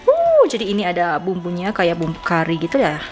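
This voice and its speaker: A woman's voice: on the low side, huge pitch swings, brisk, over music. A woman from Indonesia, chatting casually to viewers in an informal, rambling, giggly vlog style. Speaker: vlogger.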